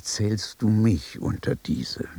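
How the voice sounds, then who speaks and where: A man's voice: on the low side, expressive, slow pace, warm tone. An actor playing a wry, pompous old aristocratic statesman and father in a literary radio drama, speaking in ornate, world-weary phrases. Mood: scornful, dry. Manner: pompous, sardonic, ornate declamation.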